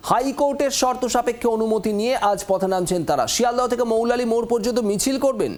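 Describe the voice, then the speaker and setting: A man's voice: extremely high pitch, expressive, fast talker, darkish tone. A man with a West Bengal Bengali accent presenting the news, emphatic and dramatic, posing rhetorical questions. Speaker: news anchor. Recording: music bed behind voice. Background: news music.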